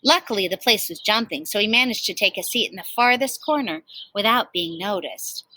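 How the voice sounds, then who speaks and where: A woman teacher reading a picture book aloud to children in an expressive storytelling style. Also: medium pitch, fairly quick.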